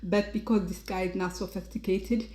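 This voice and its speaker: Woman ranting on her podcast, mocking tone, lots of uh and um, mellow voice, echoey room. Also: mid-range voice, steady tone, moderate pace. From Ethiopia. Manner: critical and mocking, many fillers and restarts. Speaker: podcast host. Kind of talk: opinionated commentary monologue. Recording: roomy echo.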